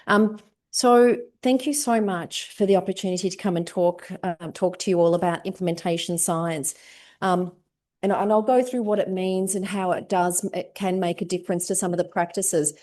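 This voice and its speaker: Casting: Australian woman lecturer, warm mellow tone, loud and chatty. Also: on the low side, moderate pace. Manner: loud projecting voice, conversational. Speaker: guest lecturer. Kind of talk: informal academic lecture. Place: lecture room.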